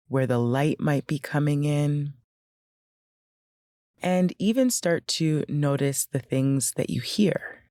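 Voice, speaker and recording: A woman leading a guided meditation in a gentle, unhurried, instructional way with pauses between phrases. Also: rumbling low, vocal fry, no echo, studio quality.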